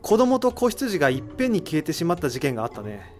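A man's voice: on the high side, huge pitch swings, quick pace, soft background music. A man acting in an audio drama as an analytical figure who reasons out a mystery in explanatory speech. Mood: explanatory, assured. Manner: explanatory, rhetorical questioning. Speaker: voice actor in an amateur audio drama. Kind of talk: dramatic dialogue, explaining deductions.

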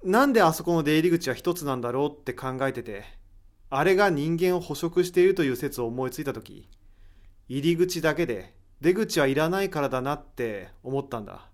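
A man's voice: fairly high pitch, lively intonation, brisk. A man playing an inquisitive, analytical character in an audio drama, musing his way through a mystery. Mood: thoughtful, intrigued.

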